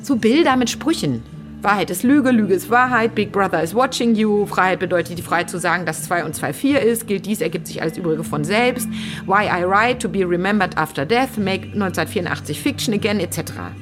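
A woman's voice: mid-range voice, fairly quick, over music, close to an everyday voice. An actress playing an insistent, teasing woman in a naturalistic German radio drama, slipping into English quotations. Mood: amused, teasing. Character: insistent woman hunting a writer's lost typewriter.

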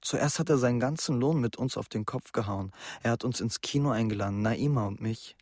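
A man's voice: mid-range voice, fairly quick, darkish tone, dry recording, close to an everyday voice. Young male actor as anxious teenage schoolboy for radio drama, mid-range voice, earnest confiding delivery, very dry recording. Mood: confiding, troubled. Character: anxious schoolboy worried about his older brother. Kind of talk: naturalistic radio drama dialogue.

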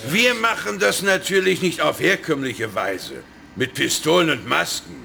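An actor playing a sinister, short-tempered hacker boss in a children's radio play, domineering and scornful. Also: male voice, on the high side, lively intonation, normal speed, gravelly, sharp tone, slight background noise, heavily acted. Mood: scheming. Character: finster, bossy male hacker boss, short-tempered schemer.

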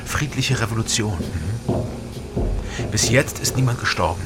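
An actor playing a guarded, probing investigator who narrates his own story in a radio crime drama, terse and low-key. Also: slow pace, very noisy.

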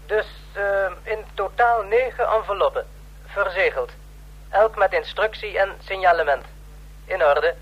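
A man's voice: high voice, unhurried, slightly rough, dull audio. A man playing a dry, dutiful copy clerk in a radio play, speaking in a clipped, matter-of-fact way. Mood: businesslike. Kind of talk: Dutch radio play acting.

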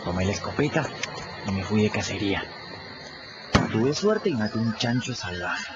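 An actor voicing a plucky, sly runaway boy in a radio drama, telling his story in the first person in a lively way. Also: on the low side, moderate pace, close to an everyday voice. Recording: dull lo-fi radio recording. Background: clear music bed.

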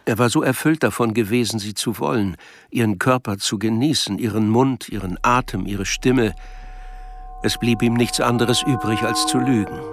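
A man's voice: lowish voice, moderate pace, slight background noise. A man reading literary scripted narration for a radio drama. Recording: music behind the voice.

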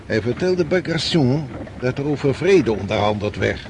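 Measured army general over clear background noise man acting. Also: mid-range voice, lively intonation, a little mellow, close to an everyday voice. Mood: grave, explaining. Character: weary commanding general of a retreating Russian army. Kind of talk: radio drama acting.

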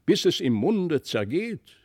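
A man's voice: fairly high pitch, sing-song, slow pace, darkish tone, slightly rough, pitched above the performer's own voice, exaggerated voice. Smug aristocrat uncle played by male narrator, storybook tale: lofty, patronising, self-satisfied. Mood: smug condescension. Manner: pompous, patronising. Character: condescending, pompous noble uncle, self-satisfied count.

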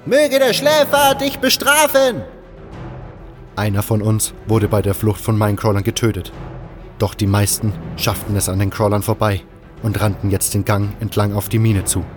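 Low-pitched male audiobook narrator with music. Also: little pitch movement, a little fast. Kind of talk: scripted first-person fantasy audio drama narration.

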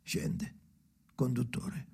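A man playing a haunted, brooding storyteller in an experimental radio drama, speaking in halting, repeated fragments with a creaky voice that often drops to whispers. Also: mellow. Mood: brooding, uneasy. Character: haunted brooding man narrating memories alone by the sea.

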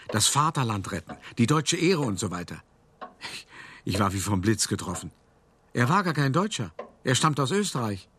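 An actor playing a self-important older art dealer who tells of his past in an expressive, articulate, wry monologue for a radio play. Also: medium pitch, slight background noise. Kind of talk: theatrical first-person monologue, storytelling.

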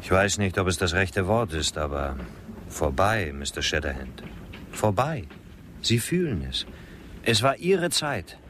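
An actor in a German radio drama playing a worldly, detached gentleman who lectures politely. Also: low voice, sing-song, unhurried, a little hiss or hum, heavily acted. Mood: coolly resigned. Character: worldly, matter-of-fact gentleman champion of progress.

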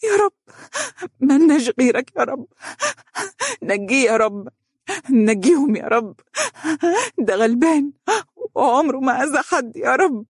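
A woman playing a tearful, pleading young wife, high-voiced. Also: expressive, moderate pace, long pauses, breathy edge, no echo, studio quality, heavily acted. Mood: sobbing desperation. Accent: Egyptian Arabic. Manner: trembling, tearful delivery.

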